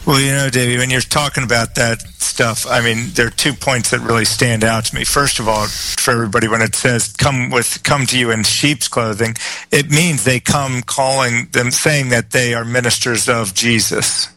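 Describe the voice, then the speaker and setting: Bright dry-sounding guy on a church show, calm, lots of ums. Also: medium pitch, dry recording, low-quality recording. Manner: measured, explanatory, with fillers. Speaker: co-minister. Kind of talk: discussing scripture.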